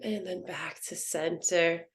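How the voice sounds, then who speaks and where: A woman teaching a guided meditation in a soothing, gentle, unhurried manner. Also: fairly low pitch, fairly even intonation. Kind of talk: guided yoga nidra meditation.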